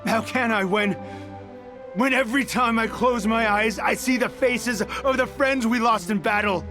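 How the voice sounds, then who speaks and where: An actor playing a fiery, embittered resistance soldier in a video game, proud and defiant. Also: male voice, very high voice, a little slow, over music. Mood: bitter, impassioned. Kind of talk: dramatic video game character acting.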